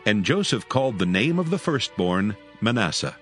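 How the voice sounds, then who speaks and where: A man's voice: lowish voice, very animated, normal speed. A man narrating scripture as a dramatized audio story in a formal storytelling style. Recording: music behind the voice.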